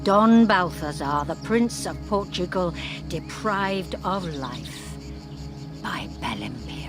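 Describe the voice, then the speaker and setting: An actress playing an ominous, commanding spirit of vengeance, with deliberate, portentous phrasing in a verse radio drama. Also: female voice, sits low.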